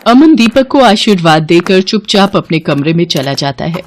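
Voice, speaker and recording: A woman's voice: fairly low pitch, very animated, normal speed, a little hiss or hum. A woman storyteller reading scripted narration for a children's moral story.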